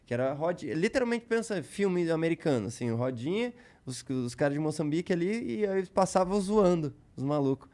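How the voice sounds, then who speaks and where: A man's voice: fairly high pitch, lively intonation, brisk, few pauses, warm tone. A man with a Brazilian Portuguese accent, a guest in casual podcast chat, telling a long story loosely with slang and many fillers.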